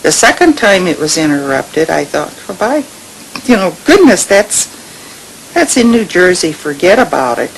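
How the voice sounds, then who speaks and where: A woman's voice: lowish voice, sing-song, moderate pace, gravelly, low-quality recording. An elderly woman telling a personal memory as an anecdote in an interview. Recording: faintly hissy interview recording.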